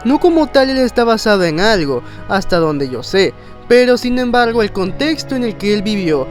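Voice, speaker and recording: Guy with a pretty high voice doing a chatty countdown narration, wordy, bit of music under it. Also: sing-song, moderate pace. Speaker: video narrator, content creator. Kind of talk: informal countdown video narration.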